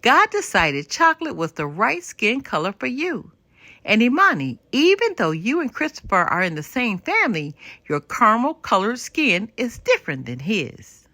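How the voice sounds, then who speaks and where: A woman voicing a kindly, wise grandmother in a children's storybook, with an emphatic, gently teaching delivery. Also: on the high side, huge pitch swings, moderate pace, dry recording, voice pushed up, full character voice. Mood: warm, reassuring. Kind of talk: children's storybook read-aloud.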